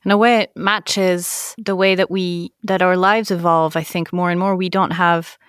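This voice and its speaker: A woman from France who hosts an interview and speaks in a measured, reflective way, relating the topic to her own life. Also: lowish voice, little pitch movement, fairly bright tone, clean tone, dry recording, clean studio sound. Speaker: podcast host. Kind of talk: conversational interview.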